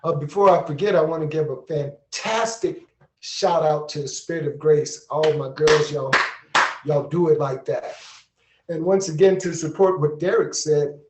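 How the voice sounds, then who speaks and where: A man with a fairly high voice speaks in a roomy, echoing space. He offers unscripted panel reflections, phrased earnestly and with deliberation. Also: steady tone, moderate pace, a little mellow, slight background noise. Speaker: panel participant and storyteller. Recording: echoey room sound.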